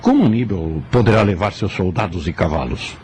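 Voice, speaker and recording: Man acting as puzzled seeker, lowish voice, lively intonation, questioning, radio drama, faint noise. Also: fairly quick. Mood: perplexed. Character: perplexed, inquisitive man questioning a spirit guide.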